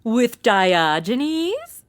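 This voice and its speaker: A woman's voice: a little high, sing-song, extremely slow, full character voice. A woman playing a brash, snarky young modern sidekick in a comedy audio drama, slangy and sarcastic. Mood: sarcastic, quippy.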